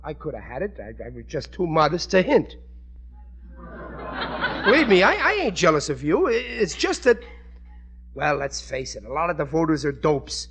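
Blustering, high-pitched working-class husband. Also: male voice, very animated, normal speed, long pauses, fairly bright tone. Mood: defensive bluster. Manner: blustering, stammering, folksy grammar. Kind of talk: 1940s radio sitcom acting. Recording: noisy vintage radio recording.

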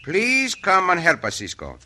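Mexican cowboy hero, radio western, sharp bright voice, swooping pitch, courtly, self-assured, Spanish accent. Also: male voice, wildly swooping pitch, unhurried, heavily acted. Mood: patient. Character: Cisco Kid, cool confident Mexican cowboy hero. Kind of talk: old-time radio western acting.

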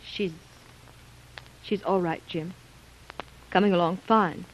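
A woman's voice: lowish voice, fairly even intonation, slow pace. Strong-willed overwrought woman, stammering, insistent, 1930s radio serial, muffled sound, faint noise. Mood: strained, reassuring. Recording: muffled noisy vintage radio recording.

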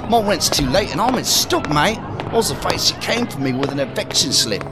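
A broke, quarrelsome British man, grumbles and curses in street slang. His pitch swings widely, and music plays behind him in this audio drama. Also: very animated, a little fast. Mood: bitter griping. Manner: slangy, griping. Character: broke, foul-mouthed, quarrelsome working-class man, down on his luck.

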